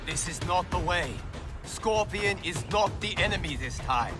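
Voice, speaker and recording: A man's voice: high voice, lively intonation, unhurried. A man playing a principled young warrior monk in a video game, speaking in an earnest, formal, dramatic way. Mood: pleading, indignant. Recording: loud music over the voice. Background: loud cinematic game music.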